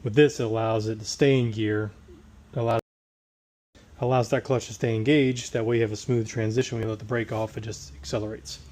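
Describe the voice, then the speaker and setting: Hoarse man explaining a transmission rebuild. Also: fairly low pitch, level tone, fairly quick, a little mellow. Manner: plain-spoken, working while talking. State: hoarse, recovering from tonsillitis. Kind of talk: casual shop walkthrough.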